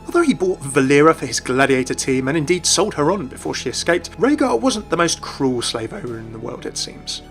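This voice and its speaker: A man with a fairly high voice presents a scripted, explanatory video game narration. Music plays softly behind him as he talks. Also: very animated, fairly quick, few pauses. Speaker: host of a video game channel. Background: background music.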